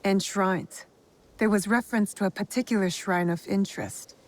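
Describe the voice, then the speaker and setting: A cool, determined heroine voiced by a woman questions with calm directness. Her voice is steady and mid-pitched, pressing without raising it. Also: normal speed, natural voice. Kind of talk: video game cutscene acting.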